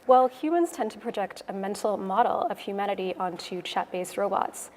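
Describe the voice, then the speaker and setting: A Canadian woman delivers a prepared conference talk, explaining things in a measured way. Her mid-pitched voice rings through a reverberant hall, with some background noise around it. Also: darkish tone. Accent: Canadian English. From Toronto, Canada. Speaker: conference speaker, web developer. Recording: echoey hall, noisy room ambience.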